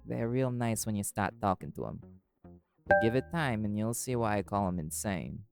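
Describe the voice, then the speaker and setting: A man's voice: fairly low pitch, normal speed, vocal fry, music in the background. A man playing a cool, wry, flirty magical private eye in an intimate audio roleplay, teasing dryly and swearing casually. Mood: wry, frank.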